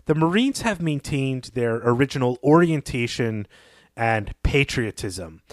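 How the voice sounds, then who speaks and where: A man's voice: medium pitch, lively intonation, unhurried, no echo, studio quality. A man co-hosting a podcast, giving reflective, analytical commentary on a story in conversational speech. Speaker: podcast co-host.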